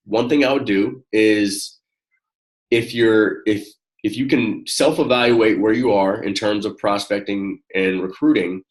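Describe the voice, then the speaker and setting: A man with a low-pitched voice gives one-on-one coaching in a casual, encouraging way. He talks in halting, informal phrases, circling back as he explains. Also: fairly even intonation, poor audio. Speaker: network marketing coach.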